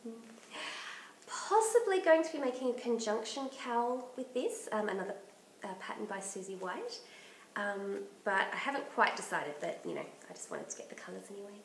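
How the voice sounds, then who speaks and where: Croaky woman chatting. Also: medium pitch, sing-song, moderate pace, roomy sound, a little hiss or hum, low-quality recording. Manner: chatty and enthusiastic, laughs often. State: croaky from bronchitis. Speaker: knitting podcast host. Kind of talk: casual chat.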